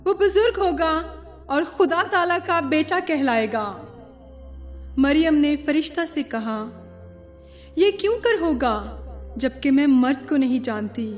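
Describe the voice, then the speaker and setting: A woman's voice: high-pitched, animated. A woman voicing a solemn angel messenger, reciting scripture reverently in a radio drama. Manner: slow, reverent, declamatory. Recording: long cavernous reverb, music underneath.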